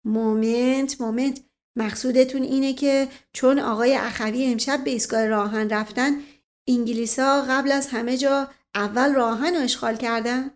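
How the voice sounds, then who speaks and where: A woman's voice: high-pitched, fairly even intonation, close to an everyday voice. Woman voicing a playful, urbane princely uncle. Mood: mock-serious.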